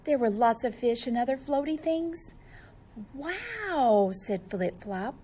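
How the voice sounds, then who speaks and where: A woman's voice: a little high, lively intonation, moderate pace, warm tone, slightly rough. A woman reading a storybook aloud to young children, expressively and speaking to them directly.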